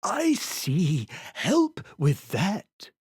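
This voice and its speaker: A storyteller voicing a cheerful red lamplight creature who sounds happy and bright. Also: mid-range voice, huge pitch swings, very slow, slightly rough, no room sound, clean studio sound, voice pushed up, heavily acted.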